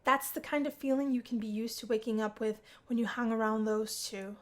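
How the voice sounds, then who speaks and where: A woman's voice: fairly high pitch, fairly even intonation, a little fast, lightly acted. A woman voicing a stern, earnest young male student in a visual novel, speaking in a lecturing way. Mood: stern, concerned.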